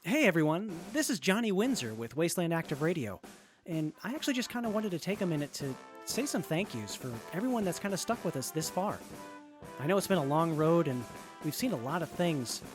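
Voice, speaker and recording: A man's voice: a little high, big pitch swings, fairly quick, music in the background. An actor playing an earnest wasteland radio survivor who talks casually and fills his speech with fillers. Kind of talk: in-character audio drama farewell.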